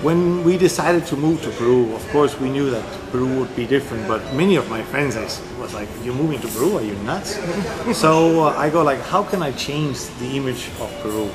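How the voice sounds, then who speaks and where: A man from Denmark tells his story in a relaxed interview, pausing often for fillers and restarts. His voice sits at an ordinary middle pitch. He talks in a loose, unscripted way and circles back to finish his points. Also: music in the background, rough recording. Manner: rambling, with many fillers and restarts. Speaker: interview guest. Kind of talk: casual interview answers.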